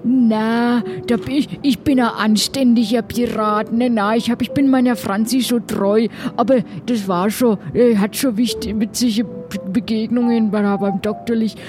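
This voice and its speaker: A woman's voice: a little high, fairly even intonation, moderate pace, hardly any pauses, close to an everyday voice. A woman playing a chatty, loyal, naive male pirate in an improvised adventure, rambling along colloquially and hesitantly. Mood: chatty, cheerful.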